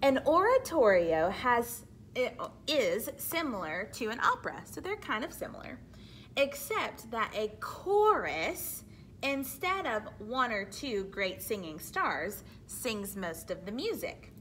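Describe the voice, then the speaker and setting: A woman reads a children's book aloud in a deliberate, teacherly way. Her voice sits fairly high and swings expressively, and she takes her time with each phrase. Faint noise runs behind her. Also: sing-song, fairly bright tone. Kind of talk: read-aloud storytelling with explanatory asides to children.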